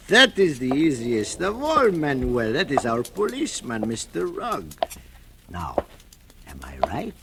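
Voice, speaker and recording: An older man, acting a gentle fatherly shoemaker and narrating in an old radio drama, with soft chuckles. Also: on the high side, huge pitch swings, takes it slowly, noisy background.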